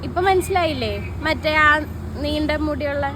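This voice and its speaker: A young woman speaks in a high voice, insistent and pleading as she improvises a prank call. Clear outdoor traffic noise sits behind her. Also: moderate pace. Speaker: prank caller posing as a tailor's customer.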